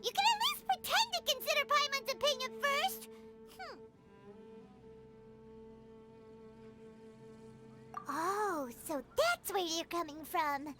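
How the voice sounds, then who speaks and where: A woman voicing a tiny, excitable, easily spooked childlike fairy companion in an animated game, with a perky, squeaky voice. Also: normal speed. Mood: indignant, then resigned. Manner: perky, third-person self-reference. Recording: music behind the voice. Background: game background music.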